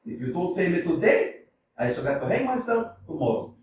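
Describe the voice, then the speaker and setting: An amateur actor playing a blustering, scornful landowner in a staged play reading, swinging between shouting and mock-tender crooning. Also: male voice, very animated, a little fast, a little hiss or hum. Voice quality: booming, theatrical. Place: small community theater stage. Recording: echoey hall from a distance.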